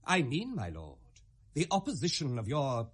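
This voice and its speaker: An actor with a British accent playing a foppish, obsequious young courtier in a classical radio drama, with affected, tittering delivery. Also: male voice, mid-range voice, wildly swooping pitch, normal speed, slightly rough. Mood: fawning, eager. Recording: 1970s radio drama recording.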